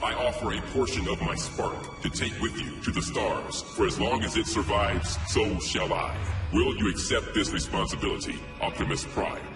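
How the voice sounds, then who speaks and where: A man voicing an ancient, dying, benevolent robot planet in a game, speaking with grave, halting ceremony. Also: lowish voice, big pitch swings. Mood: solemn, hopeful. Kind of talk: scripted video game cutscene acting. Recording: echoing voice under loud music.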